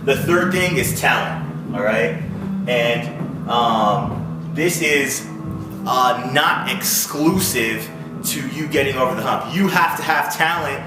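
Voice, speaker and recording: A man delivers an informal lesson in the manner of a straight-talking coach. He explains step by step and keeps checking that the listener follows. The sound is roomy and echoing, with music clearly heard behind his voice. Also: mid-range voice, animated, takes it slowly. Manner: explanatory, blunt, often checks in with "all right?". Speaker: music industry coach, video host. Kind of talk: informal instructional talk at a board.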